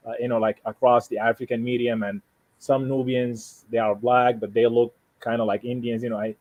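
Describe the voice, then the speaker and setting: A man from Sudan, a native Arabic speaker with a Sudanese Arabic accent, talking casually and haltingly as he explains himself, often repeating and rephrasing. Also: medium pitch, little pitch movement, no echo, narrow, tinny audio. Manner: halting, repeats and rephrases, frequent "you know". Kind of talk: casual conversation, explaining personal plans.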